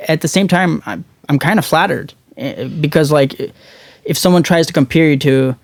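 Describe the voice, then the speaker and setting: Thoughtful Norwegian rapper, dry sound. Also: male voice, fairly high pitch, moderate pace, gravelly, dry recording. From Norway. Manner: thoughtful, hesitant, restarts words. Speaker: musician guest. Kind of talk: casual interview chat.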